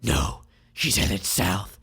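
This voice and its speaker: A man voicing an angry, vengeful villain tycoon in a forceful, menacing, dramatic way for a comic read aloud. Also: low-pitched, big pitch swings, drawn-out words, vocal fry, slightly rough, pitched below the performer's own voice, exaggerated voice. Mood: scornful.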